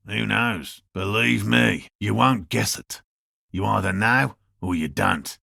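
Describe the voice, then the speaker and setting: A man playing an earnest, slightly defensive cryptozoologist in a video game, with a raspy, gravelly voice and a measured, academic, matter-of-fact manner. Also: fairly low pitch, sharp tone, no echo, clean studio sound, exaggerated voice. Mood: reflective, dry. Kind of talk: video game character dialogue.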